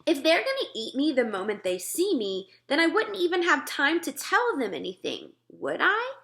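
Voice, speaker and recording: A woman's voice: sits high, huge pitch swings, normal speed, vocal fry, bright, forceful tone, pitched above the performer's own voice, exaggerated voice. A woman voicing a small, curious, earnest girl in a children's storybook, questioning and pleading persistently. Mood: insistent. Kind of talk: children's storybook read-aloud.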